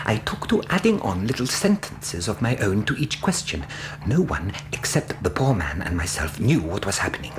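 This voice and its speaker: A man's voice: lowish voice, big pitch swings, normal speed, few pauses, noisy background. An actor playing an earnest, fussy middle-aged Greek interpreter, telling his story formally and precisely in a radio drama. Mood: earnest, animated. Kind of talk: radio drama narration and dialogue.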